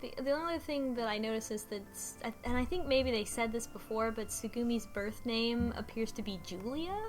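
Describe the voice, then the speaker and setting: A woman's voice: on the high side, moderate pace. A woman co-hosting a casual discussion, recapping and commenting on a game's story. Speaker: podcast co-host recapping the plot. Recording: faint music behind the voice.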